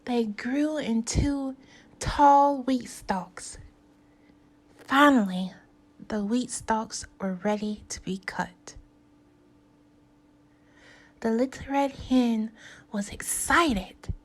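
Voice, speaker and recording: A woman with a fairly high voice reads a children's story as a teacher would. Her delivery is extremely slow and deliberate, with clear pauses between short phrases. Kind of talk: animated read-aloud storytelling for young learners.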